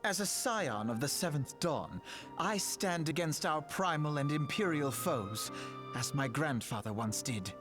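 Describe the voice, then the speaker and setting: A man's voice: on the high side, animated, a little slow. An actor playing an earnest, self-assured young nobleman in a fantasy game, speaking in formal, archaic phrases. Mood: grave, resolute. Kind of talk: theatrical fantasy game voice acting. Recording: music behind the voice.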